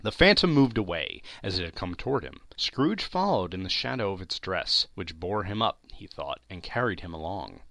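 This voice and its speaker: A man narrating a classic story as a dramatic audiobook, with theatrical, deliberate phrasing and emphatic lists. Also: sits low, very animated, vocal fry, bright, forceful tone, dry recording. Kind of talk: dramatic scripted literary narration.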